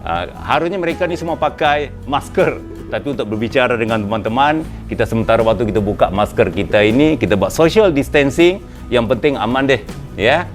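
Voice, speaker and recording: A man with a Malay accent hosts a relaxed motivational talk about business to a small group indoors. His voice is mid-pitched and conversational, with frequent fillers and emphatic upward leaps. Faint music plays behind him. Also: a little fast. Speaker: host.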